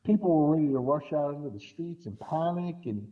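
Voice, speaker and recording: A man's voice: a little high, expressive, fairly quick, narrow, tinny audio. A man in a casual podcast discussion, telling things in a rambling way with repeated false starts. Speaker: podcast guest co-commentator.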